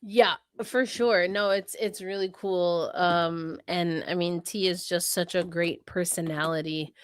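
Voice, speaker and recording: A woman's voice: on the low side, fairly even intonation, unhurried, clean tone, dry recording, clean studio sound. A woman hosting a sports talk show, blunt and exasperated, rambling through her asides. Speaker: podcast host. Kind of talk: casual sports chat.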